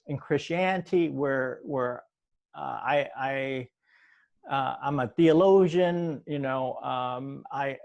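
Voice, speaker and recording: A middle-aged man born in Vietnam, a theologian talking as a podcast guest in a halting way, with many fillers and restarts. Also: medium pitch, creaky. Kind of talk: casual interview talk.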